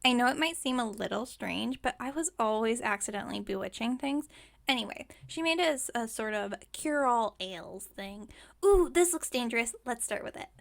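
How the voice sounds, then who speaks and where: A woman's voice: fairly high pitch, animated, moderate pace, vocal fry. A woman playing a scatterbrained, overconfident witch shopkeeper in an ASMR roleplay, chatting breezily and musing aloud with great self-assurance. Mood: cheerfully reassuring, then excited curiosity. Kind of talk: ASMR roleplay audio drama.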